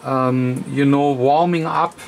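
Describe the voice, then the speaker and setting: Man, very slow halting workbench explainer, faint hiss behind. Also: medium pitch, steady tone, vocal fry. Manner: halting, many fillers, counts aloud while adjusting. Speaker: radio repair technician. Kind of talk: hands-on repair demonstration. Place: electronics workbench.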